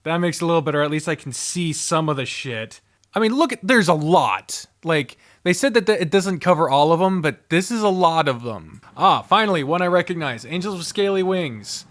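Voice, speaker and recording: A man's voice: on the high side, animated, moderate pace, fairly bright tone. A man giving casual, rambling ranking commentary, thinking aloud and swearing freely in an informal way. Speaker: host.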